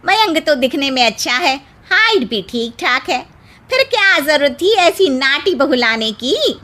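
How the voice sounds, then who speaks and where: Woman as bright-voiced, theatrical gossipy neighbour. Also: sits high, very animated, unhurried, full character voice. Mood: mocking. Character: gossipy, dramatic neighbourhood woman in a cartoon tale.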